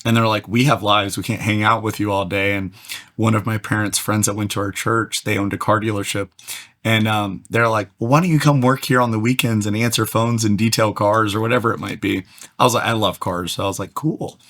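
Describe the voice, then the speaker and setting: A man from Atlanta, slightly hoarse, telling his story as an energetic, fast-talking podcast guest. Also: lowish voice. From Atlanta, USA. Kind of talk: casual storytelling interview.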